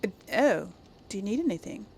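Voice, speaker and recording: Chatty gravelly-edged woman gamer. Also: medium pitch, very animated, brisk, darkish tone, a little hiss or hum. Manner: chatty, thinking aloud, addressing viewers. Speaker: gaming channel host. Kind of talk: casual let's play commentary.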